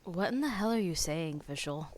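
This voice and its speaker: Lowish, animated woman streamer. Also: moderate pace, noisy background. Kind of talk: casual game reaction commentary. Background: game music.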